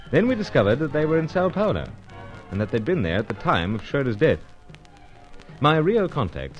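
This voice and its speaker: An actor playing a suave, gentlemanly secret agent in an old-time radio adventure serial. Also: male voice, on the low side, muffled recording. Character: suave, self-assured male British secret agent narrating his adventure.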